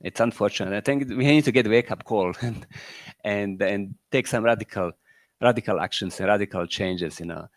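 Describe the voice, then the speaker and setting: A man's voice: on the low side, brisk, dry recording. A middle-aged man with a Croatian accent, an entrepreneur giving rambling closing remarks at a panel, firing off rhetorical questions and filling gaps with "you know".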